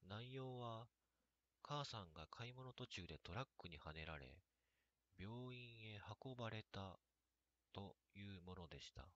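A man narrating a scripted first-person story in a measured, hushed way. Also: lowish voice, lively intonation, muffled recording. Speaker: reader of own horror and drama stories.

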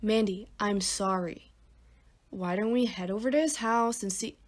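A woman's voice: mid-range voice, measured pace, natural voice. A woman voicing an easygoing, joking young monster friend in a cartoon. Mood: sympathetic. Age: young adult. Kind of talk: animated cartoon acting.

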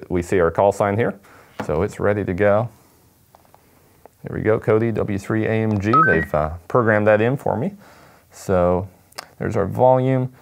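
Low-voiced young man, casual demonstrator. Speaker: product demonstrator. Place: indoor studio at a table.

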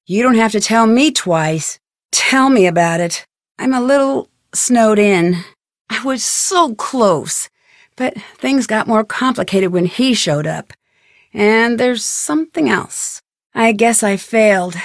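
A woman's voice: medium pitch, no room sound, clean studio sound. A woman playing an inquisitive, level-headed young detective in an adventure game, speaking in an earnest, conversational, matter-of-fact way.

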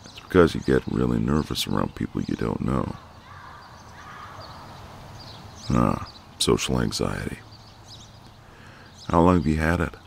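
A man playing a flirty, cocky but gentle ex-marine neighbour in an intimate ASMR roleplay, teasing in a folksy way. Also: very deep voice, animated, normal speed, vocal fry, a little hiss or hum, heavily acted. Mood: gentle, confiding.

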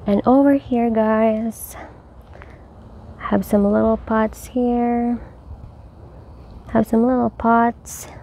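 Slow-talking gravelly woman touring her garden. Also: on the high side, little pitch movement, warm tone, slight background noise. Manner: cheerful, chatty, addresses viewers as guys. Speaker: home gardener. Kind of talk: casual garden tour. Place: outdoors in a backyard garden. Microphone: handheld phone camera.

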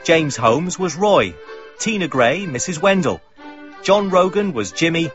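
A man's voice: on the high side, animated, a little slow. A man reading a scripted broadcast announcement of drama credits as a radio announcer. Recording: dull radio recording under music. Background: violin music.

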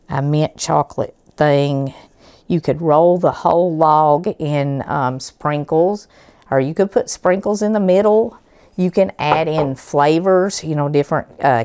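Hoarse, low-voiced woman cooking. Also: a little mellow, no echo. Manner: homey, chatty, folksy explanations. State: hoarse from a cold. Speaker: cooking show host. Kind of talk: home cooking demonstration. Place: home kitchen, indoors.

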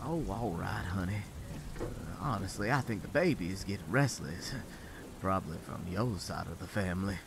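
A man's voice: sits low, animated, normal speed. A man playing a mousy, anxious husband in his thirties, stammering and fretful in an audio drama. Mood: nervous, hesitant. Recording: clear rain noise behind voice.

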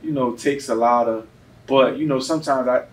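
A man's voice: medium pitch, fairly even intonation, moderate pace. A man hosting a casual sports podcast, telling stories in slangy, swearing speech. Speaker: podcast host. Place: indoor gym, podcast set. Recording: noisy, distant mics in gym.

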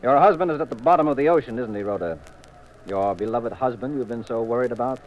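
An actor playing a cool, wry detective with a dry, commanding manner in a 1950s radio mystery. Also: male voice, mid-range voice, fast, highs cut off, natural voice. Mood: accusing, triumphant. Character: cool, wry, assured male detective, married sleuth. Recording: noisy 1950s radio recording.

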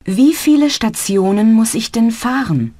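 A woman plays a polite young student asking simple questions in a language-course dialogue. Her voice sits fairly high with lively intonation and a touch of breathiness. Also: a little slow. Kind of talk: slow scripted learner dialogue.

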